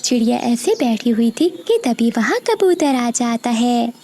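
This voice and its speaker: A woman reading scripted narration for a children's animated story. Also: fairly high pitch, a little slow, slight background noise.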